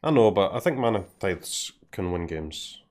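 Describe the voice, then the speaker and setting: A man with a Scottish accent streaming a card game, thinking aloud in casual commentary, often dropping to a mutter and clearing his throat. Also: sits low, animated, normal speed, a little mellow. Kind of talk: casual live commentary while playing.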